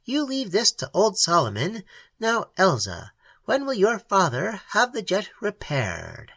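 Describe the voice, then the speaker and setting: A reader playing a brusque, confident old schemer in an audiobook, talking in a dismissive, blustering way. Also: female voice, medium pitch, huge pitch swings, unhurried, gravelly, a bit bright, breathy edge, no echo, pitched above the performer's own voice, exaggerated voice.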